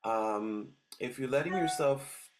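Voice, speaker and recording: A man's voice: medium pitch, animated, takes it slowly, background noise. A middle-aged man talks through his story in a casual interview. He hesitates often and restarts his sentences, filling gaps with "um" and "uh.". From Switzerland. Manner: hesitant, many restarts and fillers. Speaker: dog trainer guest.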